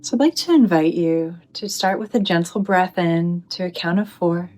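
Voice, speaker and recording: A woman leading a guided meditation in a gentle, soothing manner, with deliberate phrasing and pauses. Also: lowish voice, soft background music.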